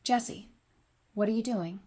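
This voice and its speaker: A woman's voice: mid-range voice, slow pace, dark tone. A woman playing a frank, loyal and caring friend in an audio drama. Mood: stern, confronting.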